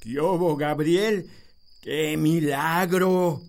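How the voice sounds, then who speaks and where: A man playing an old, slow-talking farmer. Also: fairly high pitch, steady tone. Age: older. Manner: slow, unhurried, plainspoken. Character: old male village farmer and healer, weary. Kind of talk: radio drama acting.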